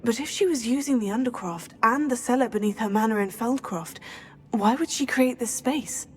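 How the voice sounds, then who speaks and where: A woman's voice: a little high, normal speed, a little mellow, close to an everyday voice. A woman voicing an earnest teenage girl student witch in a video game. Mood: curious, focused. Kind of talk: video game dialogue acting.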